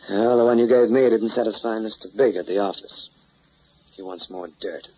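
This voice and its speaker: An actor playing a chivalrous, plain-spoken newspaper reporter in an old crime drama, polite, hesitant and protective. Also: male voice, lowish voice, moderate pace, lightly acted. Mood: awkward. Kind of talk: mid-century American crime drama acting. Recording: muffled, noisy old drama soundtrack.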